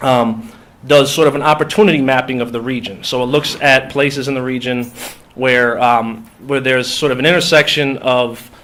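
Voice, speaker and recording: A man's voice: medium pitch, a bit bright. Nasal, congested man giving a talk. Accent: Minnesotan. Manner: halting, many ums and restarts. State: congested from a cold. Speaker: coalition organizer. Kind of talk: conference talk. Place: foundation meeting room.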